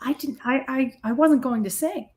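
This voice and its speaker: A woman in middle age talks casually as a musician being interviewed. Her voice sits a little high. She is blunt and self-deprecating, telling anecdotes in a conversational way. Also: moderate pace, a little mellow. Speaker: heavy metal guitarist, singer and songwriter being interviewed.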